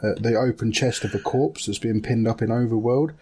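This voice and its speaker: A man's voice: medium pitch, fairly quick, darkish tone, husky. A man chatting casually and enthusiastically as a podcast co-host, talking in a loose, conversational way. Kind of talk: casual chat about video game films.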